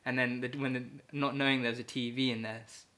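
A man's voice: medium pitch, little pitch movement, quick pace, sharp tone. A man, a poet speaking as an interview guest, thoughtful and hesitant, with many fillers and restarts and easy laughter. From Ecuador and England. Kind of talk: casual reflective conversation.

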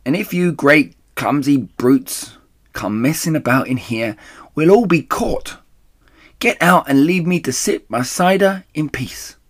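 A storybook rat, cranky and possessive. The voice is pitched high and loud, shrieking its indignant complaints in sweeping swoops. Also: male voice, animated, measured pace. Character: shrieking, grumpy, possessive male rat guarding his drink.

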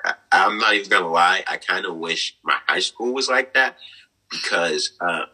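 Deep-voiced young man, earnest storytelling. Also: normal speed, breathy edge, low-quality recording. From United States. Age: 20s. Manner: earnest, frequent fillers, laughs softly. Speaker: guest. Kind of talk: conversational interview, personal story.

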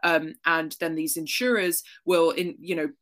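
A woman guest on a podcast, explaining a news story in a relaxed way with frequent ums. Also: sits low, moderate pace. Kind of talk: casual explanatory chat.

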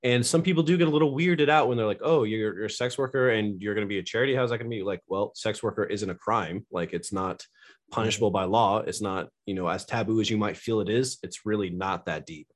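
A man's voice: lowish voice, quick pace. A young American man from California, a podcast guest who talks loosely and jokes a lot. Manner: joking, loose and candid, laughs often. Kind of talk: casual interview chat.

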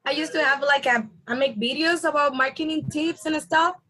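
A woman with a Dominican accent whose first language is Spanish. She speaks in a very high voice. She talks like a guest on a casual podcast, chatty and laughing often. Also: a bit bright, low-quality recording. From Dominican Republic.

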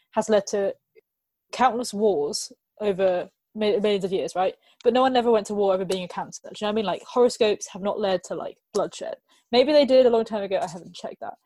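A woman's voice: medium pitch, fast talker, darkish tone, no room sound. Chatty young woman, dry sound. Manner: chatty, jokey, self-mocking. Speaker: podcast co-host. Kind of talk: casual chat.

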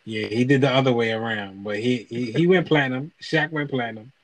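A man co-hosting a casual chat show, telling lively anecdotes and acting out the other people's lines. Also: medium pitch, brisk, no echo, rough recording. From Haiti (parents), raised in the US. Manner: animated storyteller, acts out voices in anecdotes. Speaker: podcast co-host.

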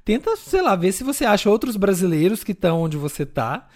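Chatty man, slightly high voice. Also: brisk. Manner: animated, chatty, frequent fillers. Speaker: podcast co-host. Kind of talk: casual chat.

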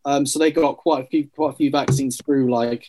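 A man's voice: medium pitch, fairly even intonation, moderate pace, dark tone. A man in casual discussion, blunt and colloquial, reaching for analogies.

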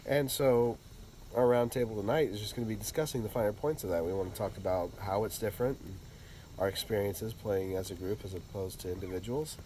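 A man hosting a laid-back podcast, his voice a bit low and thick with a head cold. He rambles easily with plenty of fillers, and there is a faint hum of noise behind him. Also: a little fast, dark tone, rough recording. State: congested from a cold. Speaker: podcast host. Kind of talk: casual podcast chat.

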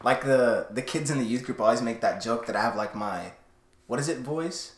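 A young man co-hosting a casual podcast, moving between a loud projected voice and quieter, lower talk. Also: normal speed, reverberant. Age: young adult. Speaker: podcast co-host. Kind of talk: casual chat.

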